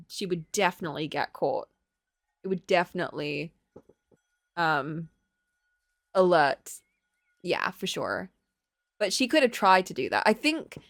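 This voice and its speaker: A woman's voice: lowish voice, no room sound, clean studio sound. A woman livestreamer reading a visual novel aloud, moving between story narration and casual commentary.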